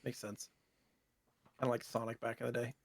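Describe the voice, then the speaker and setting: A man's voice: mid-range voice, little pitch movement, brisk, gravelly, no room sound. A man co-hosting a casual retro gaming podcast, speaking conversationally. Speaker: podcast co-host.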